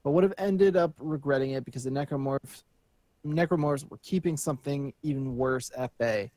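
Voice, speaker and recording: A man's voice: mid-range voice, moderate pace, darkish tone, dry recording. A man co-hosting a podcast, reading news aloud and chatting in an informal, joking way. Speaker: podcast co-host.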